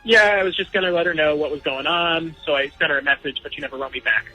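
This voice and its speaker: A man's voice: fairly high pitch, fast, highs cut off, faint music behind. A man calling in to a radio show, speaking hesitantly with stammering restarts. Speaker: caller. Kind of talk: telling his story on a radio call-in.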